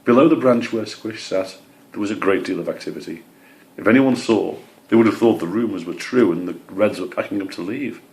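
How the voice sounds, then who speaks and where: A man's voice: fairly low pitch, a little fast, a little mellow. A man narrating a children's storybook as its reader.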